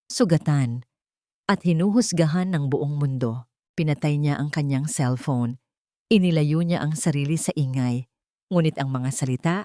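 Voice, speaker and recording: A woman narrates a dramatic love story from a script. Her voice sits slightly low. The recording is dry, with very little room sound. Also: darkish tone, no room sound.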